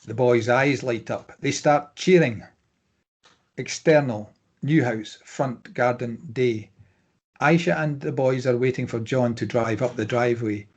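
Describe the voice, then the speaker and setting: A man's voice: medium pitch, a little mellow. A man reading out screenplay stage directions as scripted narration.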